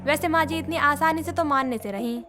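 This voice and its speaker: A woman voicing a cheeky young daughter-in-law with polite excuses in an animated moral story. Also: sits high, brisk, natural voice. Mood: practical. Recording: music behind the voice.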